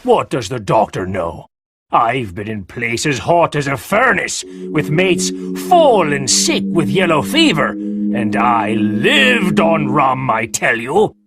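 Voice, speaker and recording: Fierce, sickly old seaman, read by a male narrator: gravelly, husky, lively pitch, dry sound with faint music. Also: slow pace, exaggerated voice. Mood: pleading. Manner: drawling, pleading and cursing. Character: sick, fierce old male sea captain.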